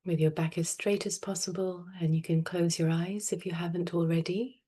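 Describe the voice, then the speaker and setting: A woman meditation teacher with a low, mellow voice leads a guided practice. Her delivery is soft and soothing, staying very even in pitch, with long, unhurried pauses between instructions. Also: slightly rough.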